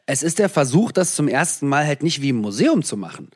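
A man from the former Soviet Union answering interview questions thoughtfully, with frequent pauses, fillers and restarts. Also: fairly high pitch, very animated, husky. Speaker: guest.

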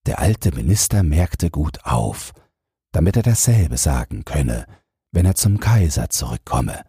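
A man's voice: a bass voice, very animated, measured pace, mellow, breathy edge, no room sound, clean studio sound. A man narrating a fairy tale as a bedtime audiobook, reading a scripted text as a storyteller.

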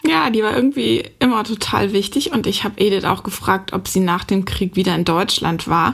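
Husky, gravelly woman co-host. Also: mid-range voice, brisk, fairly bright tone. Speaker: podcast co-host. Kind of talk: conversational narration.

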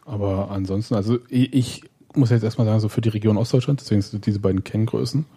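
Casting: male podcast host, lowish voice, very warm dark tone, informal chat. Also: fairly even intonation, quick pace, slightly rough. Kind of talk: casual podcast conversation.

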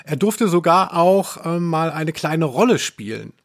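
A man with a somewhat high-pitched voice talks casually as a podcast co-host. He speaks conversationally, going into nerdy detail as he discusses his subject. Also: very animated, unhurried. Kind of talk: casual podcast discussion about radio plays.

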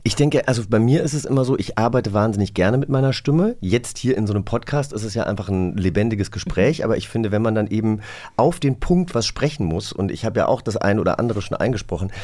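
A man's voice: lowish voice, quick pace, a little mellow. A man hosting a podcast in a casual, conversational style. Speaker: podcast host. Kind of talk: casual chat.

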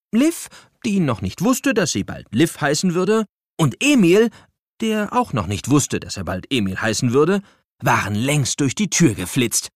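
Male narrator for kids' audio play: playful, dramatic, very animated, mid pitch, dry close sound. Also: no echo. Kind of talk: scripted children's story narration.

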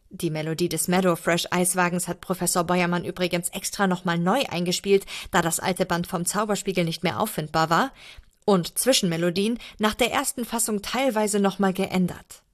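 A woman's voice: fairly low pitch, steady tone, moderate pace. A woman reading an email aloud as a guest reader.

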